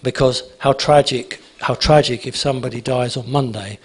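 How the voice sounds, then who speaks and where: A man's voice: mid-range voice, fairly even intonation, normal speed, husky. A man speaking at a conference, emphatic and repetitive, answering audience questions. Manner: emphatic, repeats phrases, asks "okay?".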